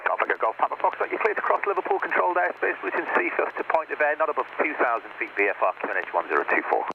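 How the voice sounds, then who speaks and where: Male air traffic controller, rattling off clearances with lively, swooping intonation over a muffled radio. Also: a little high, rapid-fire. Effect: aviation radio. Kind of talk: rapid procedural radio clearances. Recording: muffled, noisy airband radio.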